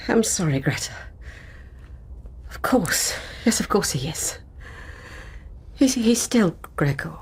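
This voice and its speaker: A woman's voice: mid-range voice, sing-song, slow pace, pauses often, husky, exaggerated voice. A middle-aged woman playing a dithery, squeamish mother in a radio drama, hesitant and fluttery. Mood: subdued. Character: dithery, squeamish, fainting mother of grown children.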